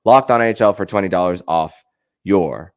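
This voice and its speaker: A man hosting a sports podcast, speaking in a halting way with repeated and restarted phrases. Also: sits low. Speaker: podcast host.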